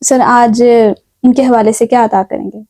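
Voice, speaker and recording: Pakistani lady hosting a book and poetry show, mellow voice, dry close sound, very polite and appreciative. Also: fairly high pitch, flat delivery, no echo. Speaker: talk show host. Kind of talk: hosting a literary talk show.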